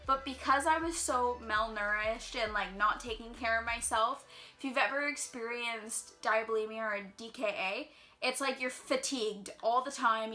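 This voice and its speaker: A young woman telling a personal story in a casual vlog, chatty and quick with lots of filler words, sounding a little congested. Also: fairly high pitch, pushed and bright. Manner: chatty, fast-flowing, heavy use of "like". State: slightly congested. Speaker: vlogger. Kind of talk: casual storytime while doing makeup.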